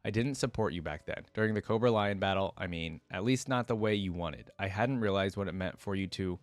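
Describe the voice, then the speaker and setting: A man reading as a jovial, booming warrior commander. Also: a little fast, no echo, natural voice. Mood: reminiscing.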